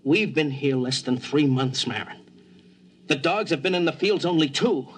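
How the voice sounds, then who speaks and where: Guy doing bossy space colony exec, old radio show, curt and skeptical, voice a bit high. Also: moderate pace, slight background noise, lightly acted. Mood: challenging. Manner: curt, authoritative. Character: brusque, skeptical male colony executive. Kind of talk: mid-century American radio drama acting.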